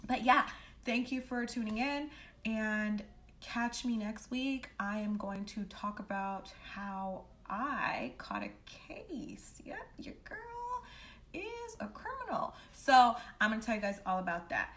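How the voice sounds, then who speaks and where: A woman casually telling a personal story in an animated, slangy, heavily profane way, acting out the dialogue as she goes. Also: fairly high pitch, slow pace, slight background noise. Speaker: storytime vlogger.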